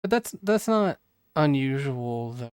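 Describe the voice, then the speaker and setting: A man's voice: mid-range voice, very animated, measured pace, darkish tone, clean tone, no room sound, studio quality. A man hosting casual reaction talk, explaining things in a skeptical way. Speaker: show host.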